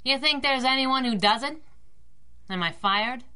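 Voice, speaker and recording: A brash young female cab driver from a 1930s radio play. Her voice is fairly high, clear and forceful, and she fires off slangy wisecracks with a tough edge. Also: measured pace. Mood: guarded, defiant. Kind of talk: 1930s-style radio drama acting.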